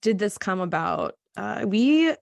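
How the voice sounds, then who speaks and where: A woman's voice: mid-range voice, very animated, measured pace, gravelly, no echo. A woman working as a podcast interviewer, asking questions in a casual, conversational way.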